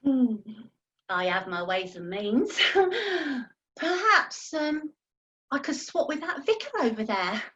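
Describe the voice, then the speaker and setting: Woman acting a haughty, high-voiced washed-up singer. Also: big pitch swings, slow pace, a bit bright, highs cut off, full character voice. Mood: scheming. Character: washed-up younger female singer, haughty and tart. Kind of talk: comedy radio play acting.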